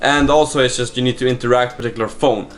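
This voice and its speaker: A man talking informally in a casual video diary, presenting his software project as a developer. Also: mid-range voice, fairly even intonation, very fast, soft background music. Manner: informal, conversational, mild swearing. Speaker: startup vlogger and app developer.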